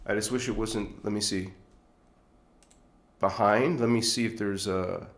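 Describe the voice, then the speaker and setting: A man's voice: lowish voice, steady tone, a little slow, roomy sound. A man hosting a casual livestream, chatty and rambling, with a stuffed-up nose, talking into a phone on a tripod. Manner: rambling, chatty, chuckles often. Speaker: livestream host.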